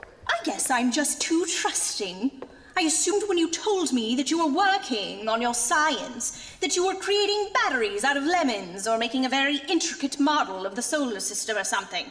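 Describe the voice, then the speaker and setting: A woman acts an indignant young fiancée with a high voice and sweeping, theatrical intonation. She scolds with scorn in a comic horror radio play, in a space with long reverb. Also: lively intonation, moderate pace, fairly bright tone. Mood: hurt, accusing. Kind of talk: comic horror audio drama acting. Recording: long reverberant room sound.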